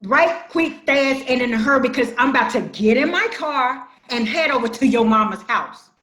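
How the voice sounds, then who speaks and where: A hot-headed, bullying elder sister acted by a woman in a family stage drama. Her high voice swings widely as she confronts and threatens in slang, in a roomy, echoing space. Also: lively intonation, moderate pace, a bit bright. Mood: angry, threatening. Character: bullying, hot-tempered grown elder sister Brenda, ready to fight. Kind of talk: online dramatic play reading. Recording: roomy echo, faint music.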